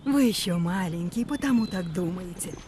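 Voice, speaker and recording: A woman voicing a caring, watchful mother saiga antelope in a cartoon, with a motherly, expressive manner. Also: fairly low pitch, moderate pace, slight background noise, lightly acted. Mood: gently scolding. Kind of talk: cartoon voice acting.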